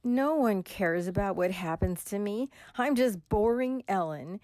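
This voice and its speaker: A woman's voice: medium pitch, lively intonation, unhurried, darkish tone, no echo, natural voice. A woman playing a frightened, lonely young woman, anxious and pleading in a radio drama.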